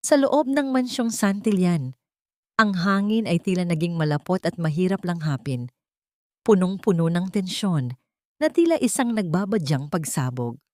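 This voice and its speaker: Woman narrating a drama in a low voice. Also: expressive, moderate pace, mellow, no room sound, studio quality. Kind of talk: scripted audiobook narration.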